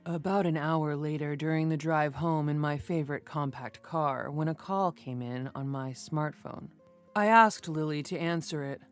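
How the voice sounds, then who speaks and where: A woman's voice: low voice, normal speed, soft background music. A woman narrating a scripted story.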